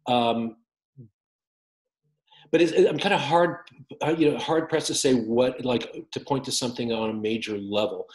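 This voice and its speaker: A man's voice: mid-range voice, moderate pace, pauses often. A man in his fifties, an author guest, answering interview questions on a video call with many restarts and fillers. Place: home room with windows. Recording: roomy echoey call audio.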